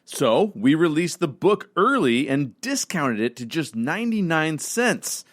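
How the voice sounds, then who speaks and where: A man's voice: fairly high pitch, very animated, measured pace, fairly bright tone. A man speaking as a podcast co-host, reading a scripted promo in a conversational way to listeners.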